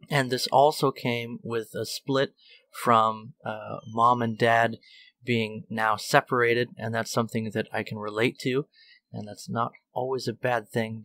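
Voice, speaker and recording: Bright-voiced male interviewer. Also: medium pitch. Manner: empathetic, asks long open questions. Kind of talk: casual interview.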